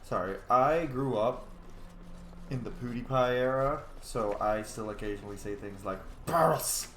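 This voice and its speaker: A man's voice: mid-range voice, normal speed, a bit bright, echoey room, a little hiss or hum. A man in his thirties giving casual, joking commentary while roleplaying a game, swearing often. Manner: chatty, profane, joking. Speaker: roleplay gamer.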